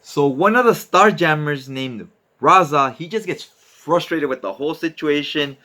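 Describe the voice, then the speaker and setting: A man's voice: fairly high pitch, unhurried, sharp tone. A man hosting a comic book recap, narrating and commenting in a casual, slangy, conversational way.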